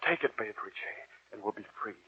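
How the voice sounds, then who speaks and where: A man's voice: lowish voice, lively intonation, normal speed, close to an everyday voice. An actor playing an ardent, anguished young man in love, in an earnest, theatrical 1940s radio drama style. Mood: urgent, pleading. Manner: earnest, theatrical, impassioned delivery. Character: ardent, anguished young male lover narrating his tragic tale. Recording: muffled 1940s radio recording, background music.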